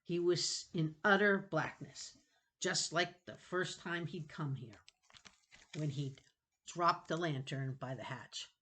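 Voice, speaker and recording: A woman's voice: sits low, fairly bright tone. A woman narrating a children's novel dramatically, reading with expression and suspense. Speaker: storybook reader.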